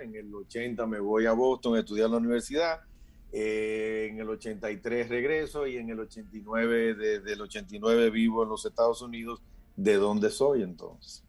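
A low-pitched Dominican man in his fifties speaks with a Dominican Spanish accent. He hosts a casual conversation and tells stories, acting out the voices of the people in them. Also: a little mellow. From Dominican Republic. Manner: storytelling, acts out quoted voices. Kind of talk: casual chat.

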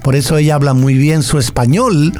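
A man presenting entertainment news in a relaxed, explanatory way. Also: a little high, a little fast. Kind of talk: conversational news report.

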